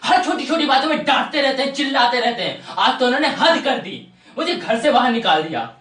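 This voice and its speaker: A man's voice: extremely high pitch, big pitch swings, brisk, bright, forceful tone, reverberant, distant mic, full character voice. A young man acting a brash, impatient youth in casual Hindi drama dialogue. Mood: sulky. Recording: faint music behind. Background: music.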